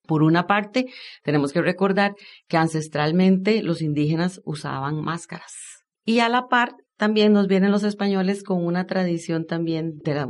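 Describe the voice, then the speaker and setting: A woman with a Costa Rican accent, a historian being interviewed, explaining informally and didactically with frequent tag questions. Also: low-pitched. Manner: didactic, often checks understanding with tag questions.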